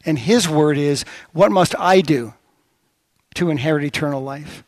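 A middle-aged man preaching a sermon as pastor, telling stories with lively swings of voice. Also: unhurried, no echo. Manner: storytelling with lively pitch swings.

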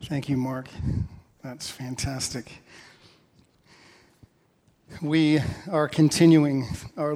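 A man's voice: a little high, darkish tone. A man, a pastor, giving plain conversational announcements in church. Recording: long church hall reverb.

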